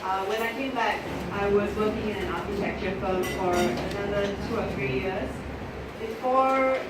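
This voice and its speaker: A woman's voice: medium pitch, moderate pace. A woman, giving a chatty talk to an audience with many fillers and restarts. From Penang, Malaysia. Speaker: guest speaker, entrepreneur. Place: lecture hall at a talk event. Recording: echoey hall, voice far off, loud background noise.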